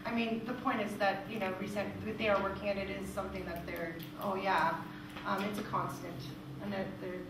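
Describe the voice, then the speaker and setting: A woman's voice: mid-range voice, flat delivery, fairly quick. A woman answering audience questions at an informal presentation, explaining things and repeating phrases for emphasis. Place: echoey room at a live presentation. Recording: echoey room, distant mic, clear background noise.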